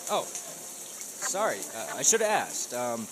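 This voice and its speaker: A man's voice: medium pitch, wildly swooping pitch, measured pace, background noise, pitched below the performer's own voice. A man playing a dim, tactless police officer who complains cluelessly in a comedic audio drama. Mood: oblivious complaining. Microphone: studio condenser mic.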